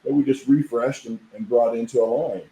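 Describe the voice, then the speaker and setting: Hoarse man, middle-aged, rambling talk, very dark tone, echoey room. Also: mid-range voice, steady tone, fairly quick. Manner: rambling, storytelling. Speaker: podcast guest, equipment designer. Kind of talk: casual chat.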